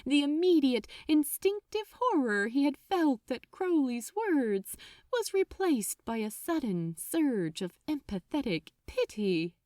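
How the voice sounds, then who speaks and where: Female story narrator: unhurried, dramatic delivery with wide melodic range, recorded very dry. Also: a little high, no room sound. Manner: expressive, deliberate. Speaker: fan fiction narrator.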